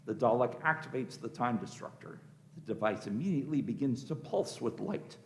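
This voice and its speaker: A man's voice: mid-range voice, animated, moderate pace, slight background noise. A man serves as narrator of a sci-fi radio play. He reads scripted scene descriptions in the third person.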